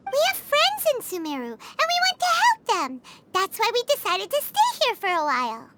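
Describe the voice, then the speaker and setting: A woman voicing a small, cheerful, earnest childlike fairy companion with a squeaky voice in a video game. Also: wildly swooping pitch, moderate pace, very noisy, exaggerated voice. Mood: earnest, reassuring. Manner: perky, earnest, speaks as "we". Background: music.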